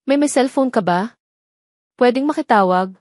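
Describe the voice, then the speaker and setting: A woman's voice: a little high, lively intonation, normal speed, a little mellow, no room sound, studio quality, voice pushed up, close to an everyday voice. A woman voicing a rich, direct, persistent city businessman in an audio story. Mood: hesitant asking.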